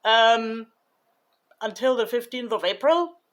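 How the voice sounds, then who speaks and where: Slow, hesitant woman vlogger. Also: fairly high pitch, expressive. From Germany. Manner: hesitant, many fillers and restarts; slow, thoughtful delivery. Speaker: YouTuber. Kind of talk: casual vlog recap.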